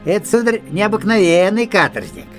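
A man's voice: high-pitched, moderate pace, faint music behind. An actor playing a wary, talkative, folksy country coachman in an audio drama. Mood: wary, emphatic. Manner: folksy, colloquial, animated.

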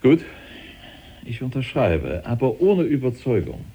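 A man's voice: medium pitch, huge pitch swings, measured pace, mellow, slight background noise. A man playing a weary, corrupt politician forced from power in a German radio drama, grudging and sardonic. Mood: bitter, grudging.

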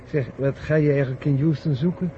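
A man's voice: fairly high pitch, steady tone, fairly quick, natural voice. Male actor as sceptical ex-astronaut, teasing, incredulous, radio drama, dull 1970 recording, faint noise. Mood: friendly, wistful.

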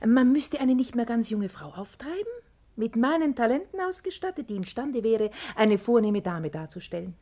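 A woman's voice: a little high, very animated, normal speed, warm tone. An actress playing a shrewd, worldly matchmaker woman in a radio comedy, theatrical and persuasive. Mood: scheming. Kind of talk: classic German radio comedy acting.

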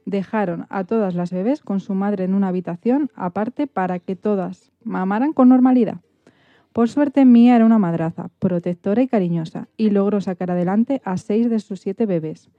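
A woman's voice: medium pitch, dry recording. A woman radio presenter reading scripted storytelling narration. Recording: dry, slightly dull recording.

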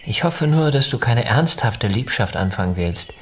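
An actor in a German radio drama playing an upper-class husband, possessive and anxious, with an insistent, mannered way of talking. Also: male voice, medium pitch, lively intonation, normal speed, slight background noise, natural voice.

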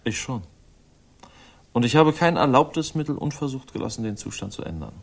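An actor in a 1960s crime radio play, playing a cynical, guilty husband of an heiress with a measured, formal, self-mocking manner. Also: male voice, medium pitch, expressive, vocal fry, breathy edge.

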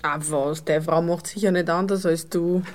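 An actress playing a coy, naive young Viennese sweetheart in a radio play, pouting and often hushed, with a Viennese accent. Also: female voice, on the low side, fairly even intonation, moderate pace, lightly acted. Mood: teasing, suspicious. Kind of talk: naturalistic radio play dialogue.

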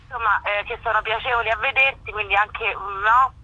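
Bright-voiced woman, self-deprecating. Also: fairly high pitch, steady tone, fairly quick, breathy edge, dry recording, lo-fi sound. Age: 30s. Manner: self-deprecating, ironic, rambling. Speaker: author and actress, interview guest. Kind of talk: casual humorous interview.

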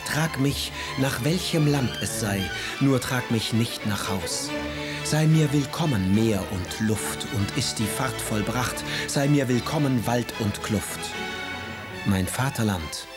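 An actor playing a proud, sardonic exiled poet in a radio drama, speaking in a literary, declaiming manner. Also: male voice, mid-range voice, animated, slow, loud music behind the voice. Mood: lyrical, defiant. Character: Romantic exiled poet, proud, sardonic, brooding husband and brother. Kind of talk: German radio drama, letters and verse.

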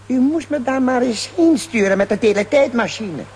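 An actor playing a sly, scheming villain with a sneering, conspiratorial manner in a children's audio drama. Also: male voice, extremely high pitch, lively intonation, moderate pace, a little hiss or hum. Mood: pushy, sneering.

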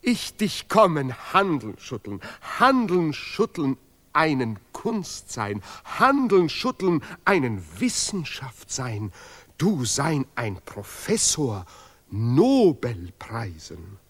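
Self-important professor, slow and wildly swooping. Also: male voice, medium pitch, wildly swooping pitch, a bit bright, heavily acted. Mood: excited. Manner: declamatory, obsessively repetitive, deliberately ungrammatical. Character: self-important Nobel laureate professor, fervent guardian of German art. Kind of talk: experimental radio play dialogue in broken, chanted German.

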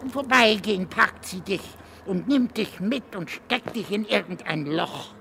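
A man's voice: high voice, vocal fry, sharp tone, slight background noise, exaggerated voice. An older man playing a dying old goatherd in a radio drama, bitter and fatalistic, with a thin, frail, brooding delivery. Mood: grim, brooding. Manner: slow, brooding, ominous.

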